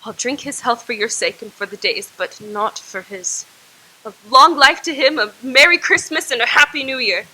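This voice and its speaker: A resentful mother spits sarcasm about her husband's employer, as an actress plays her in a radio drama. Her voice is high and bright with a biting edge. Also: moderate pace, slightly rough, exaggerated voice, poor audio. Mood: bitter. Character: sharp, resentful mother, Mrs. Cratchit.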